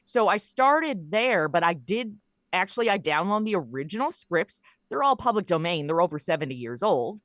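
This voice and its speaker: A woman's voice: lowish voice, sing-song, moderate pace, fairly bright tone, dry recording. A woman speaking as an interview guest, telling stories in a casual, conversational way. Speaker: podcast guest, audio comedy creator.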